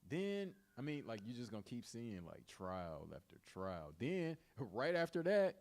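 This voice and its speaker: A man's voice: medium pitch, very animated, measured pace, a little mellow. A man leading an informal Bible study, teaching and retelling in colloquial, repetitive everyday speech.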